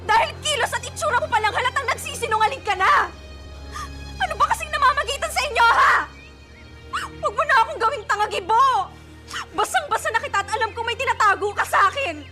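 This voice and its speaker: A betrayed pregnant young woman, with a very high, bright voice. She accuses relentlessly and breaks into shouting. Soft music sits behind the radio drama. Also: expressive, fairly quick, heavily acted. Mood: furious shouting. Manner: accusing, escalates to shouting and crying.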